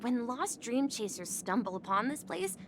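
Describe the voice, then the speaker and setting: A woman's voice: a little high, sing-song, normal speed, slight background noise. A woman voicing a friendly young woman local in a game, light and praising in manner. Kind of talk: game voice acting.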